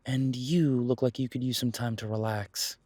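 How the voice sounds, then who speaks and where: Man acting a gentle, protective werewolf detective, close and dry. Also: mid-range voice, fairly even intonation, normal speed, no room sound, natural voice. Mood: tender. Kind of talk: intimate roleplay audio drama.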